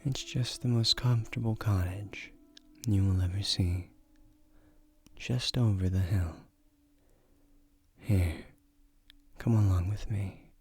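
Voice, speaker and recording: Casting: male actor as ancient tree guardian, male, romantic fantasy audio drama. Lowish, very slow delivery, formal, seductive, comforting, often near a whisper. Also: husky, faint music behind, exaggerated voice. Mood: delighted, tender. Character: ancient male guardian spirit of a magic tree, tender, courtly suitor. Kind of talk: romantic scripted audio roleplay.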